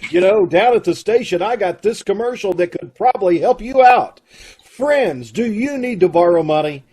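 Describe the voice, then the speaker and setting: A man playing a smooth-talking radio announcer neighbor, in old-time radio comedy style, from home on a video call. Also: warm tone, exaggerated voice. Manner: announcer-style pitch, showy delivery. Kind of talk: 1930s radio comedy acting.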